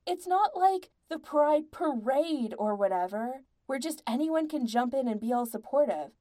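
A woman's voice: mid-range voice, dark tone, natural voice. Woman acting a furious, snarling young rock musician guy. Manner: snarling, emphatic, heavy swearing. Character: furious, exasperated young male rock band member, snarling and ranting.

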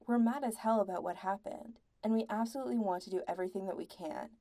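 A woman's voice: mid-range voice, fast talker, dark tone, natural voice. A woman voicing a flustered, defensive young male singer in a story, wordy and easily indignant. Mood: nervous, rambling.

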